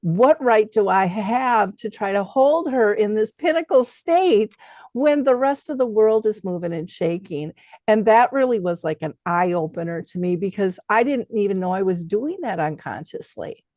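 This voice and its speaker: Middle-aged lady host, chatty and animated, sharing personal memories, normal pitch, very dry room sound. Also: moderate pace, no echo. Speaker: talk show host. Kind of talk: conversational personal reflection.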